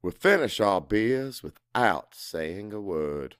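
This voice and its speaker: A man narrating first-person dramatic fiction as an audiobook, expressive and deliberate. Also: fairly low pitch, clear, resonant tone, no room sound, clean studio sound.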